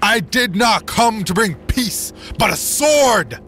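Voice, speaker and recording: A man voicing a fiery frontier preacher who rants and booms scripture at a crowd. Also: takes it slowly, faint music behind, close to an everyday voice. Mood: zealous. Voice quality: booming, ranting. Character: fiery fire-and-brimstone male preacher.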